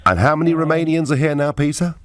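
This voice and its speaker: A man's voice: a little high, fairly even intonation, quick pace, dry recording. A middle-aged man hosting a radio phone-in show, talking in an emphatic, opinionated way with wry asides. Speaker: radio talk show host.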